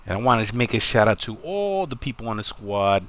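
A man's voice: fairly low pitch, big pitch swings, fairly quick, a bit bright. A man commenting on a live shooter game, chatty and full of wiseguy catchphrases, greeting teammates and viewers by name. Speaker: game streamer.

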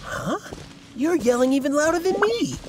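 Animated, excitable young superfan. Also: male voice, very high-pitched, moderate pace, very noisy. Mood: calling out. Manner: stammering, earnest, fussy. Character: earnest, excitable, self-important young male fan club leader. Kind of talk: video game character acting.

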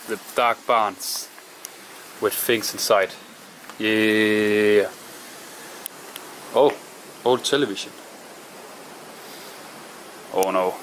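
A man with a low voice mutters his way through an abandoned farmhouse, pausing and thinking out loud. He speaks slowly and haltingly, in imperfect English. Faint hiss sits under the camera audio. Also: low-quality recording. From Denmark. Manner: musing, halting, talks to himself while exploring. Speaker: urban explorer. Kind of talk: casual walkthrough narration. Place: abandoned house and barn, indoors and outdoors.

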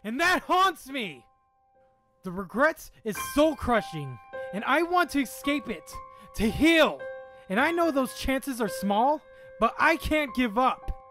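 Man playing high-voiced anguished young goat monster. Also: heavily acted. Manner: impassioned, confessional. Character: anguished young male goat monster, guilt-ridden son. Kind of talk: amateur comic dub acting.

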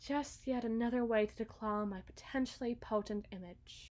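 Fairly high female voice, haughty weary evil queen acted by a woman, formal, theatrical, deliberate pauses, ASMR roleplay. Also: a little mellow, lightly acted. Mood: composed, dry. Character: haughty, battle-hardened evil queen, coolly devoted and weary. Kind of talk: ASMR roleplay monologue, scripted.

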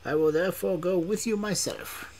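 A man's voice: high-pitched, normal speed, a little mellow, slight background noise, pitched above the performer's own voice. A man doing a grave old magician. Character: old male magician, wise and calm.

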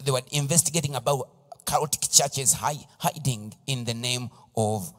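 A middle-aged man with a Ugandan accent preaching a sermon emphatically in short phrases, pausing for an interpreter, into a handheld microphone. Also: medium pitch, fairly bright tone. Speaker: pastor. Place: large stage in a church hall. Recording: reverberant hall PA sound.

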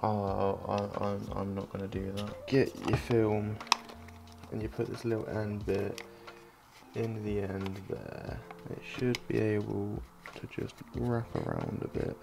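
A young man with a croaky, just-woken voice, rambling casually through a home vlog with lots of restarts. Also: lowish voice, big pitch swings, a little slow, noisy background. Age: young adult. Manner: rambling, self-deprecating, restarts a lot. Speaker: film photography vlogger. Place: small home office room. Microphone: desk mic in front.

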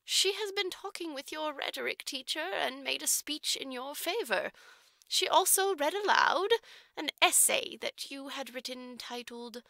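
A woman voicing a prim, condescending orphanage matron in an audiobook, with slow, formal, drawn-out delivery. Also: sits high, expressive, vocal fry, bright, forceful tone, dry recording, pitched above the performer's own voice, exaggerated voice. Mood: grudging, formal. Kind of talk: audiobook character voice.

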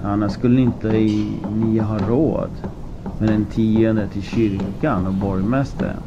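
A man voicing a sharp old peasant woman in an audiobook, blunt and grumbling. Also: on the low side, steady tone, highs cut off, noisy background, lightly acted. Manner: blunt, grunting.

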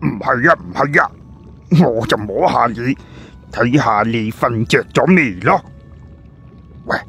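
A man voicing an anxious, nagging male sidekick in an audiobook, with a rough husky voice and colloquial, questioning delivery. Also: mid-range voice, animated, measured pace, pushed and bright, soft background music, heavily acted. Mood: defensive. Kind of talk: audiobook character dialogue.

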